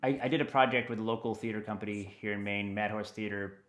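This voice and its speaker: An American man talking casually and enthusiastically as an interview guest, animated and given to rambling asides. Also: lowish voice, quick pace. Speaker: interview guest, audio dramatist.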